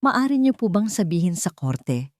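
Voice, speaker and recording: A woman voicing a stern, relentless male attorney in an audio drama, speaking in a deliberate, formal, pressing way. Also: mid-range voice, very animated, darkish tone, no echo, clean studio sound, close to an everyday voice.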